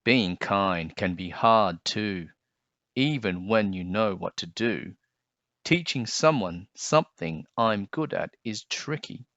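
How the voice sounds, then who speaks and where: A man reading a picture book aloud and then talking to children about it, explaining things gently and simply. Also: on the low side, dry recording, muffled recording.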